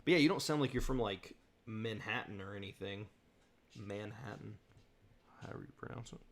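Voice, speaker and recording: Southern us guy rambling through a live q&a, low voice with a bit of fry, laughs a lot. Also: moderate pace, slight background noise. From southern United States. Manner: rambling, chatty, laughs often. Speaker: host. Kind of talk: casual livestream Q&A chat.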